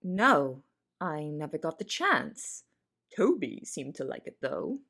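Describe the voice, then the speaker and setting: A woman's voice: sits low, sing-song, takes it slowly, fairly bright tone, exaggerated voice. A woman playing an anxious young cook in an audio drama, narrating and speaking with confessional, dramatic intensity. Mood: worried. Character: anxious young female cook in a big house. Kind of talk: audio drama first-person narration and dialogue.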